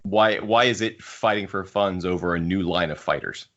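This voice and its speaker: A man's voice: low-pitched, moderate pace, fairly bright tone. A man co-hosting a casual podcast panel, talking things through at length in an analytical, discursive way. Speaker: podcast co-host. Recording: dull, muffled lo-fi audio.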